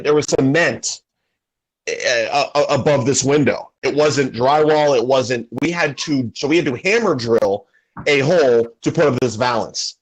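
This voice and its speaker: A man's voice: mid-range voice, rough recording. A middle-aged man chatting casually on a podcast. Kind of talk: casual chat.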